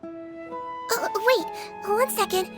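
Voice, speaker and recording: A woman voicing a tiny, gentle, girlish nurse in an anime video game, politely earnest and matter-of-fact. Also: very high voice, extremely slow, exaggerated voice. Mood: caring, earnest. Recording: music behind the voice.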